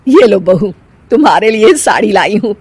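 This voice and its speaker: A woman's voice: medium pitch, lively intonation, moderate pace, darkish tone, slightly rough, dry recording. A woman voicing a haughty, domineering middle-aged mother-in-law in a cartoon family drama. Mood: brisk, favouring. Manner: scolding, domineering.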